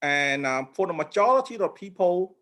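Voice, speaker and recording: This is a middle-aged man from Cambodia, a guest in an informal interview. His voice sits a little high for a man. He talks humbly and haltingly, with frequent pauses. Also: animated, fairly bright tone. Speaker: interview guest, memoir author.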